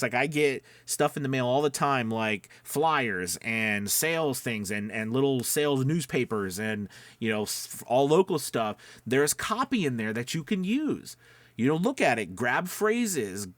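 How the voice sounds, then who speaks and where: A man with an average-pitched voice talks as a coach to his viewers. He is conversational and encouraging, wandering through his points and often checking that listeners follow. The sound is dry, with hardly any room on it. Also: a bit bright, no room sound. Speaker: voice-over coach and demo maker. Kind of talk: informal instructional talk to viewers.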